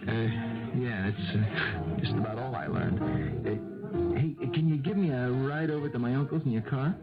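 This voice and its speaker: An actor playing a restless, pleading young ex-football player in a hesitant, stammering 1970s radio drama style. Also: male voice, mid-range voice, moderate pace, gravelly, close to an everyday voice. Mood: uneasy, hesitant. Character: restless, desperate young ex-college football player, a mother's son. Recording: muffled 1970s radio recording, music behind.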